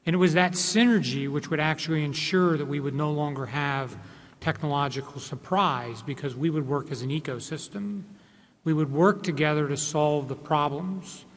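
A man in his forties speaks at a conference panel table into a table mic, his voice set a little higher than most men's. He explains earnestly, restarting phrases as he goes. A long hall reverb trails behind his words. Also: moderate pace. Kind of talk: panel discussion remarks. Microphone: table microphone.

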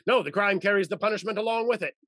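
A man's voice: sits high, fast, bright, forceful tone, no room sound, studio quality. A man in his fifties or sixties playing an exasperated, doting old husband in a period stage comedy, theatrical and sputtering. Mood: fretful. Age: 50s to 60s. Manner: blustering, theatrical, exclamatory, sputtering. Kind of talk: period comedy stage acting over video call. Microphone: video call.

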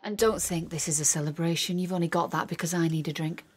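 Cool, guarded estranged wife. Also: female voice, fairly low pitch, steady tone, quick pace, darkish tone, husky. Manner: curt, dry. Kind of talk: naturalistic radio drama acting.

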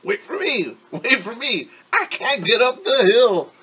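A man's voice: extremely high pitch, sing-song, measured pace, bright, forceful tone, a little hiss or hum, voice pushed up, exaggerated voice. A man voicing a vain, whiny young girl in a storybook, pleading and protesting insistently. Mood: breathless pleading.

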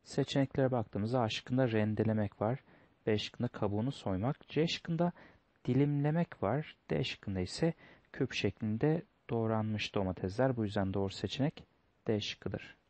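A man's voice: lowish voice, moderate pace, a little mellow, no room sound. A man teaching a lesson, going through test questions step by step, reading each line in English and then translating it. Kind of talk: explaining test answers.

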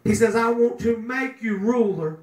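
A middle-aged man preaching a sermon at a pulpit, breaking into shouts and drawing call-and-response from the room. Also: extremely high pitch, normal speed. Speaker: pastor. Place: small chapel room with a pulpit. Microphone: handheld mic, filmed by a far camera. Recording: echoey room from far off.

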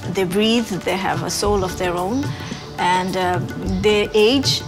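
A woman architect in her forties, speaking thoughtfully and measured in an interview, with pauses and fillers. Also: medium pitch. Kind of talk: interview about her work. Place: indoors in a brick building. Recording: music bed underneath.

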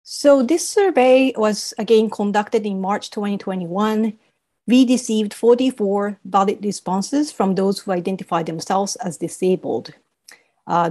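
A woman's voice: mid-range voice, warm tone. Japanese-accented woman presenting research. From East Asia. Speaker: university professor presenting research. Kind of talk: scripted presentation. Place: video call.

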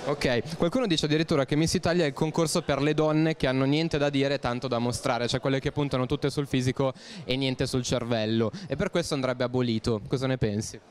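A man interviewing passers-by on the street, putting clear, prepared questions to them. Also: mid-range voice, fairly even intonation, a little fast. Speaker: street reporter.

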